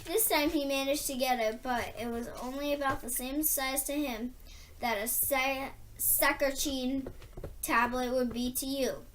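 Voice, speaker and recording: Female children's book reader: high-pitched, slow-paced, expressive, deliberate emphasis. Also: a little hiss or hum. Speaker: storybook reader. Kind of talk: expressive read-aloud of a children's novel.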